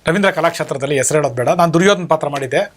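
A man's voice: on the high side, racing. A man, a stage actor, telling an anecdote as a guest in a casual chat, with some noise on the recording.

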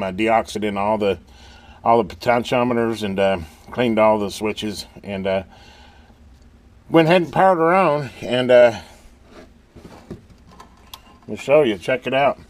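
A man talking casually and haltingly in a folksy way, thinking aloud as he hosts a hands-on repair video at an indoor workbench. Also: mid-range voice. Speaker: host of a radio repair channel.

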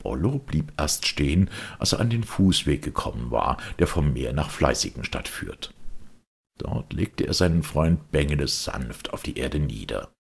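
A male audiobook narrator reads a children's tale. His voice is extremely deep and crackles with fry. The delivery is expressive, with lively rises and falls. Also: unhurried. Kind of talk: expressive scripted storytelling for children.

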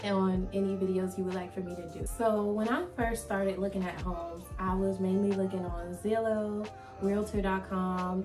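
A young woman talks straight to the camera in an easy, chatty vlog, repeating herself and filling gaps with likes and ums. Her mid-range voice is a little raspy from a cold she is getting over. The room has a noticeable echo, and music plays clearly behind her. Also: medium pitch. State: slightly raspy from a recent cold. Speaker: vlogger. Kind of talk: casual talk to camera. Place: indoor room, plain wall and plant. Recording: echoey room with background music.

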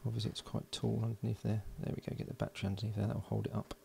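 A man giving casual hands-on commentary as an electronics hobbyist, informal and halting as he thinks aloud while working. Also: lowish voice, monotone, gravelly, mellow, slight background noise. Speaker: electronics hobbyist presenting a build. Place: indoors at a workbench.

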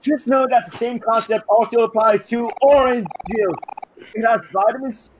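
A man voicing a weary, pleading orange juice salesman in a video game, with an exaggerated sales pitch. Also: steady tone, moderate pace, a little hiss or hum, low-quality recording. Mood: earnest pitching. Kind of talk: dramatized video game dialogue reading.

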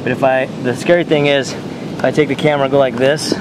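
A man on a rocky beach telling made-up stories in a deadpan way to a handheld camera. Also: mid-range voice, fast talker. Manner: deadpan, tall tales told straight. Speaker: vlogger. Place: rocky beach outdoors by the surf. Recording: loud surf noise outdoors. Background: loud ocean waves.

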